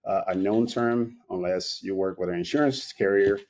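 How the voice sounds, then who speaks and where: A deep, mellow-voiced middle-aged man from Puerto Rico, talking loosely and stopping often to restart his sentences. He comes through a dull, lo-fi video call line. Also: normal speed. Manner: informal, with many fillers and restarts. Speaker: company president. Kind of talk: presentation at an online meeting.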